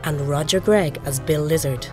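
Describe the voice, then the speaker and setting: Very low-voiced woman announcer over music. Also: moderate pace. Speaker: credits announcer. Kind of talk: scripted announcement. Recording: clear music bed.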